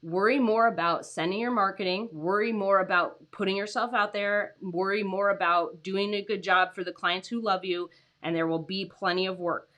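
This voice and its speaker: A young adult woman hosting a casual livestream, her voice raspy from tiredness, chatty with many "like"s and "um"s. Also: medium pitch, pushed and bright. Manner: chatty, lots of "like" and "um", laughs often. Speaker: host.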